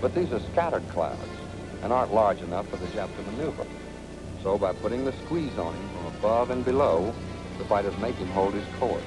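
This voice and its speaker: A man's voice: on the low side, expressive, fairly quick, poor audio. An American man narrating a 1940s military training film in a punchy, colloquial, dramatic storytelling manner. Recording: 1940s film soundtrack with music.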